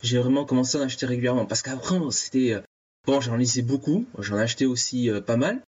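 A man in his thirties from France, chatting casually on a comics podcast, halting and searching for his words. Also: medium pitch, a little mellow, breathy edge. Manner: hesitant, playful, searching for words. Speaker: podcast co-host. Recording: dull lo-fi sound.

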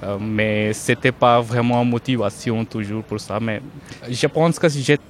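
A man's voice: mid-range voice, fairly even intonation, measured pace, a little hiss or hum. A man from North India, a native Hindi speaker, talking in careful, hesitant French as an interview guest, with many restarts and fillers. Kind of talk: casual conversational interview.

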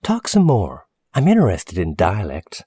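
Man voicing a curious young woman, pitched up. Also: huge pitch swings, measured pace, warm tone, slightly rough, no echo, clean studio sound, exaggerated voice.

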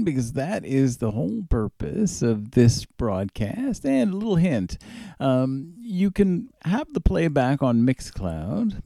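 A man's voice: medium pitch, gravelly, warm tone, dry recording, studio quality. A man hosting a music radio show, casually back-announcing songs in an upbeat, enthusiastic manner. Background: music under the voice.

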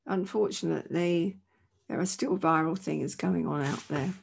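A woman, her voice croaky from a chesty cough, giving an informal, earnest sermon from her home. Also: on the low side, normal speed, a little hiss or hum. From England. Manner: earnest, conversational, many "you know" asides. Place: indoors at home.

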